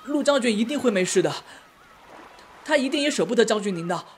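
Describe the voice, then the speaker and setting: A woman's voice: on the high side, expressive, brisk, husky. A woman playing an earnest, deferential young soldier in an audio drama. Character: earnest, loyal young low-ranking soldier. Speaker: voice actor. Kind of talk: modern Chinese audio drama acting.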